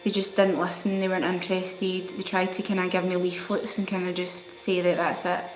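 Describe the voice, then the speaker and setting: A young woman recounting her experiences in a video testimony, in a plain and even way. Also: on the low side, brisk. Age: young adult. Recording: roomy, dull video soundtrack, faint music.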